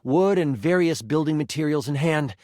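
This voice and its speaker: A man serves as game master in a fantasy video game, telling the story straight to the player. His slightly high voice moves animatedly and theatrically, recorded dry and close. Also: animated, normal speed, darkish tone, no echo. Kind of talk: dramatic second-person fantasy narration in a video game.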